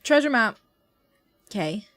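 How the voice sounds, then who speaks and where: Lively, expressive woman streaming a game. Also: a little high, unhurried. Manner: playful, expressive. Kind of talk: casual livestream reactions.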